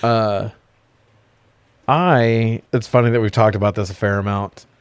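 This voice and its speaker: A man's voice: fairly low pitch, steady tone, measured pace, smooth, no room sound. A man co-hosting a podcast, chatting casually about films in a review discussion. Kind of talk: casual film review chat.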